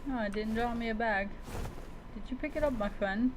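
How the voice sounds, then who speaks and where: A woman with a fairly high voice streams a roleplay survival game, commenting live and half in character. She addresses the creatures directly in a playful, mock-heroic way. Clear noise and game ambience sit behind her voice. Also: normal speed.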